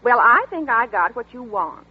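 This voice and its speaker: A woman's voice: on the high side, big pitch swings, moderate pace, husky, heavily acted. A woman voicing a simple, chatty backwoods boy who speaks in a folksy, rustic way, in 1940s radio acting. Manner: folksy, rustic, plain-spoken. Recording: tinny old radio broadcast.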